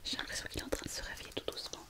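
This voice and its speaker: A woman playing an attentive Christmas doctor in a French ASMR roleplay, speaking in a hushed, tentative, soothing breathy whisper. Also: rapid-fire, lightly acted. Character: attentive female Christmas doctor tending a sleeping patient.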